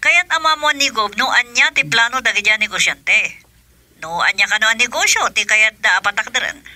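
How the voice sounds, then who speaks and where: Bright, brisk governor's aide. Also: female voice, fairly high pitch, expressive, dry recording. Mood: reporting. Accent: Ilocano. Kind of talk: Ilocano radio drama acting.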